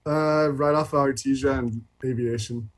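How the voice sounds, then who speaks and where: A Californian man in his twenties talks as a guest on a podcast. His delivery is easygoing and slangy, at an average male pitch, like casual conversation between friends. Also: steady tone, moderate pace. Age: 20s. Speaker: podcast guest, professional beach volleyball player. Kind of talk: casual chat.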